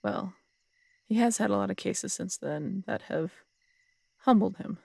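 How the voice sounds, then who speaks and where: Actress for female city cop in audio drama: tough, wry, low-key storyteller, raspy voice, very dry intimate sound. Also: mellow, no echo, heavily acted. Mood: reflective. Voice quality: husky, gravelly voice. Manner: wry, unhurried storytelling with casual swearing. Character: tough, strong-headed woman city detective, wry and fond. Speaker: voice actor in an audio roleplay.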